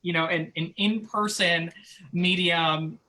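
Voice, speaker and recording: A man's voice: on the high side, fairly even intonation, takes it slowly, pushed and bright, poor audio. A man hosting an online discussion, speaking casually and earnestly to the audience, often repeating words as he goes. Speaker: host.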